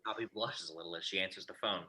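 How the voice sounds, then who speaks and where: A man with a husky voice reading scripted stage directions as narrator of a table read. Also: low-pitched, fast talker, pushed and bright, slight background noise.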